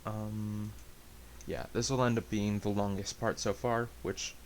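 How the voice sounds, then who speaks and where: A man chatting casually to viewers, hesitant and halting, with a self-deprecating, rambling way of talking. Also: fairly low pitch, little pitch movement. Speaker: amateur story reader and channel host. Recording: hissy amateur home recording.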